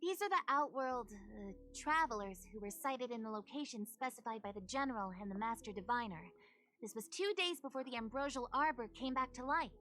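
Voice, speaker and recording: A woman's voice: on the high side, fairly quick, pushed and bright. A woman voicing a polite, dutiful assistant in an anime-style video game, formal and earnest.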